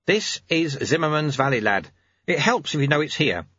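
A man's voice: a little high, sing-song, moderate pace, bright, forceful tone, breathy edge, no echo, dull audio, voice pushed up, exaggerated voice. A man voicing a wily, cheerful old sweeper who coaxes and teases in colloquial speech, as an audiobook character. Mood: chatty, reassuring. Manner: colloquial, chirpy, coaxing.

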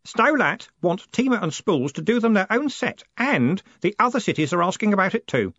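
A man voicing an earnest, excitable and polite boy enthusiast in an audiobook. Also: high-pitched, moderate pace, no echo, muffled recording, pitched above the performer's own voice, full character voice. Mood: eager.

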